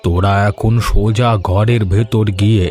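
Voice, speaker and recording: A man voicing an affectionate, welcoming old village father in an animated Bengali family story. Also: on the low side, normal speed, faint music behind, lightly acted. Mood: tender, welcoming.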